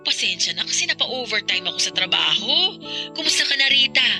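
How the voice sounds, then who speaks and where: Casting: fussing, doting Filipino mother for radio drama, very sharp bright tone, animated melody, faint music. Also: on the high side, brisk, full character voice.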